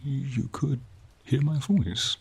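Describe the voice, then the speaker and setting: A man voicing a gentle, encouraging silver dragon in a fantasy ASMR roleplay, with a husky, near-whispered voice and slow, tender, courtly phrasing, over rain. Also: medium pitch, heavily acted. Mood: warm, reassuring. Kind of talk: fantasy ASMR roleplay, soft intimate acting. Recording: steady rain behind the voice.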